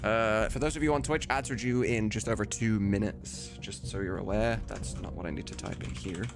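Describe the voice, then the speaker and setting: A man's voice: fairly low pitch, moderate pace. A man in his thirties chatting casually on a live stream, rambling and candid. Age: 30s. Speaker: live streamer, game dub maker. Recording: music playing behind the voice.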